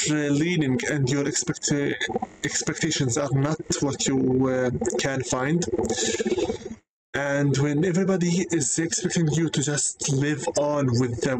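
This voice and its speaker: A young man, not a native English speaker, delivering an earnest personal vlog monologue with frequent hesitations and self-corrections. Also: fairly high pitch. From Canada. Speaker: vlogger. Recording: noisy background.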